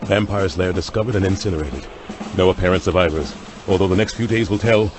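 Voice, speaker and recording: An actor playing an intense, vengeful doctor who hunts vampires, terse and melodramatic in an audio drama. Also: male voice, low voice, brisk, natural voice. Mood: brisk, satisfied. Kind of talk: theatrical audio drama acting. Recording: loud music and crowd over voice. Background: loud music and crowd noise.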